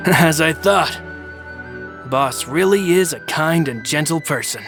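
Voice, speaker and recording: An actor playing a polite, loyal young aide who speaks respectfully. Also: male voice, on the high side, expressive, slow pace, over music. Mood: admiring. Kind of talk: game voice acting.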